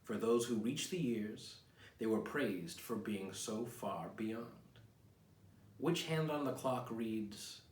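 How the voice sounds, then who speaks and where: A man's voice: lowish voice, slow, darkish tone. An American man in his thirties talking casually as a writer presenting his own work, rambling in a wry, self-deprecating manner. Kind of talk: casual rambling vlog with poem readings. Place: small home office, indoors. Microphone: camera mic a few feet away. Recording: roomy echo, distant mic, clear noise.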